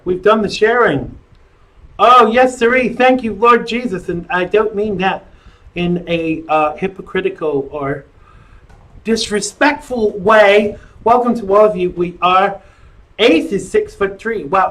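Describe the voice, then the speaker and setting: A man's voice: high-pitched, a bit bright, low-quality recording. A middle-aged man hosting a live-stream talk show, chatting in a rambling, jokey way with lots of fillers.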